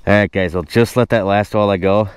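A man hosting a casual fishing vlog outdoors on a frozen lake, recorded on a wearable camera, exclaiming excitedly at each catch. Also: on the low side, fairly quick, smooth, dry recording. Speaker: host. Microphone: wearable action camera.

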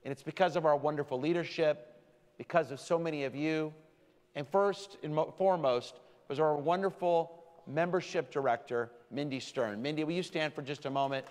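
A man giving a sermon as rabbi to his congregation, speaking with slow, deliberate emphasis. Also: on the high side. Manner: warm, deliberate preaching with slowed emphasis. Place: large synagogue sanctuary. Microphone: stand mic from a far camera. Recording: long hall reverb.

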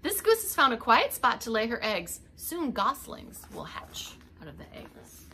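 A woman's voice: on the high side, huge pitch swings, measured pace, sharp tone, slight background noise. A middle-aged woman reading a picture book aloud as a preschool teacher, expressively, with little asides to young children. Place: indoors, home living room.

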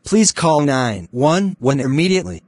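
A man's voice: on the high side, very animated, normal speed, darkish tone, husky, no echo. Formal male emergency alert announcer, dry sound. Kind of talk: scripted emergency broadcast announcement.

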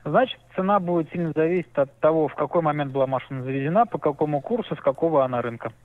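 A male dealership worker answers quickly and matter-of-factly, his voice a little high. He comes through a dry, narrow phone line. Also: dry recording. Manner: brisk, matter-of-fact. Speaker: car dealership staffer.

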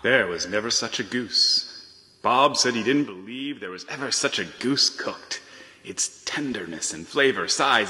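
A man narrating a storybook tale theatrically, with measured phrasing and emphatic swells. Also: fairly low pitch, sharp tone. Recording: reverberant hall sound, music behind.

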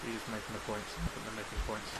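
A young man casually commenting on a game as he plays, joking and animated. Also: on the low side, rapid-fire, very noisy. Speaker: gaming channel host. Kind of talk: casual let's play commentary.

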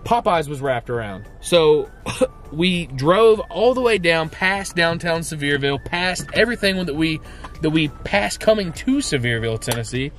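A man in his thirties vlogging to a handheld camera from a car and a hotel room, telling a rambling, excited story. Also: a little high, moderate pace. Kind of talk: casual vlog. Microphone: handheld camera at arm's length.

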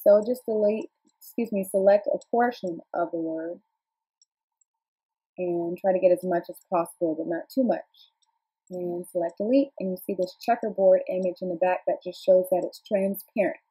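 A woman presenting a software tutorial, calmly walking viewers through each click step by step. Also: fairly low pitch, normal speed, slightly rough, poor audio. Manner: plain instructional, walks through clicks calmly. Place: home desk, filming a computer screen.

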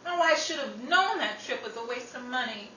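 A woman playing an outspoken, quick-tempered young working sister in an old-time radio style drama, brisk and dramatic. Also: high-pitched, animated, sharp tone. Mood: exasperated. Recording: roomy, distant mic, noisy.

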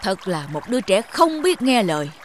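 A man's voice: high-pitched, big pitch swings, normal speed, a little hiss or hum. A man voicing a stern, stubborn, protective old mountain in a children's cartoon fable, grumbling with authority.

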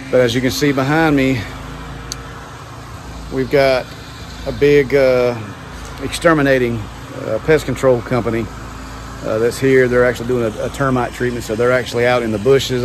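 Man vlogging outdoors, engine noise. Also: mid-range voice. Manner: chatty, laid-back, lots of "um" and "man". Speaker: pressure washing business owner, vlogger. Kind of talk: casual vlog talk to camera. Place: outdoors under a covered patio at a large house. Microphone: phone held at arm's length. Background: pump engine and vehicle noise.

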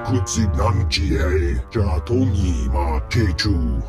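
A man's voice: low-pitched, animated, slow, over music, full character voice. A man voicing a huge, imperious slug-like alien crime lord in a video game. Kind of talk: video game cutscene acting in invented alien speech.

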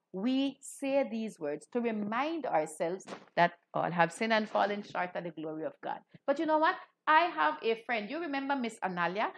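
A woman, hosting a children's Bible lesson, asking questions and repeating key points. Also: medium pitch. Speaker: children's Bible show host, Anglican clergy. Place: small room indoors.

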